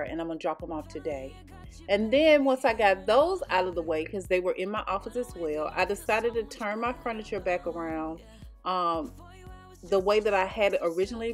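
Middle-aged woman, casual chatty voice-over, mid pitch, light music underneath. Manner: chatty, explaining with frequent ums. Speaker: home vlogger.

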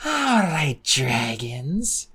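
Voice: medium pitch, sing-song, extremely slow, a bit bright, slightly rough, pitched above the performer's own voice, heavily acted. A reader playing a cruel, impatient dragon queen. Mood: commanding. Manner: commanding, impatient.

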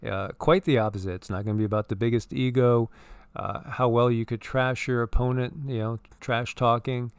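A man co-hosting a spiritual commentary chat in an earnest, rambling manner, looping back and repeating himself as he goes. His voice is smooth and of middling pitch. The sound is dry and close, with no room echo. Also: steady tone, normal speed. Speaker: co-host.

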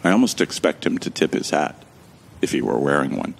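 A man's voice: a bass voice, big pitch swings, brisk, creaky, a little hiss or hum. A man narrating a sci-fi audio drama in the first person, in a wry, joking and casual way. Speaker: narrator and protagonist.

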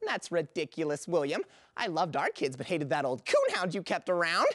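Woman playing a scolding old wife, animated. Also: fairly low pitch, moderate pace, no echo, clean studio sound, full character voice. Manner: bickering, scolding. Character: sharp-tongued old wife, mother of four.

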